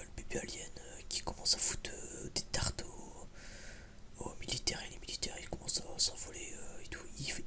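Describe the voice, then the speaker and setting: A man whispers a casual ASMR film review in a hesitant, rambling, informal way. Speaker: video creator giving a film review.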